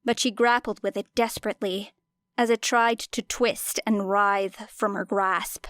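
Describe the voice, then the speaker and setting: A woman's voice: mid-range voice, fairly even intonation, unhurried, fairly bright tone, no room sound. A woman reading scripted fiction as an audiobook narrator, with dramatic delivery.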